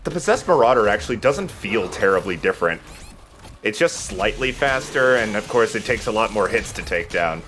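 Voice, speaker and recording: Male let's play host, casual, on the low side with lively intonation. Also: moderate pace. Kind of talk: casual gameplay commentary. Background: video game music.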